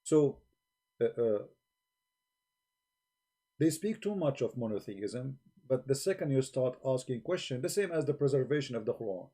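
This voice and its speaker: A man's voice: mid-range voice, animated, moderate pace, pauses often, mellow, breathy edge. A man hosting a livestream, arguing with rhetorical questions and speaking in non-native grammar into a desk microphone. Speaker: livestream host debating religion. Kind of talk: argumentative livestream talk.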